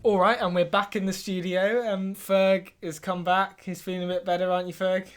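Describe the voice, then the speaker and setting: An earnest British podcast co-host, acted by a man, awkward and eager to placate. He talks hesitantly in deadpan comedy dialogue and comes across as easily hurt. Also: high-pitched, a little fast, music in the background, lightly acted. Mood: awkward, placating.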